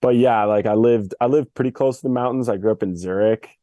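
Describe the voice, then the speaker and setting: A young man who grew up in Switzerland, chatting casually as an interview guest in a relaxed, slangy way full of fillers. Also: medium pitch, a little fast, dry recording, studio quality. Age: young adult. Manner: laid-back, filler-heavy, slangy. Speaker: guest, Olympic freestyle skier. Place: family home in Switzerland.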